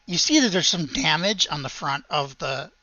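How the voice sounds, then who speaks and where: A game master improvising tabletop game narration in a halting, hesitant way. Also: medium pitch, expressive, pushed and bright, dry recording, lo-fi sound.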